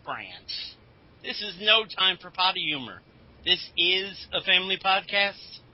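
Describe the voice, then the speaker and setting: A man's voice: sits high, very animated, measured pace, dull audio. A man playing a prim, wisecracking captive in a parody radio drama. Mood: prim, wry. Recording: faint background noise.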